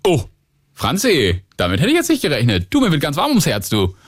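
Lively radio host, man. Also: fairly high pitch, brisk, no room sound, studio quality. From northern Germany. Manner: upbeat, chatty. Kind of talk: call-in radio hosting. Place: radio studio.

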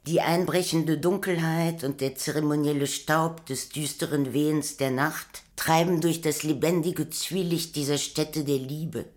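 A woman's voice: deep voice, steady tone, measured pace, few pauses. A woman playing a solemn narrator of a city at night, reading poetic text in a German radio play. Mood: solemn, unhurried.

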